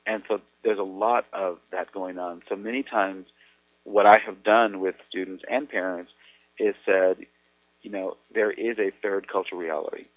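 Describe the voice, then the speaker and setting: Deep-voiced man on tinny radio audio. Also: steady tone, no echo. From United States. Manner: earnest, measured, thoughtful pauses. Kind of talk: explanatory talk on radio.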